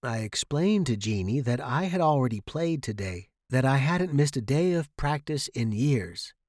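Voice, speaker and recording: A man's voice: mid-range voice, expressive, moderate pace, darkish tone, no echo, clean studio sound. A man reading a first-person short story dramatically, as its narrator.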